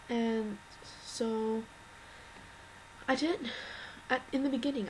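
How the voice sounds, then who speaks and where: Teen girl, low slightly tired voice, earnest rambling fan message, faint hiss behind. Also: poor audio. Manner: rambling, earnest, many restarts and fillers. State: slightly worn-out voice. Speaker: fan addressing a singer. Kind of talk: unscripted video message.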